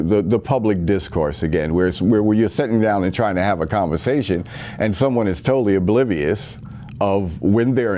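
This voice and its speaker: Earnest low-voiced man musing aloud. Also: a little mellow, a little hiss or hum. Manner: earnest, rambling with many restarts. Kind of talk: casual spoken monologue. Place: at home.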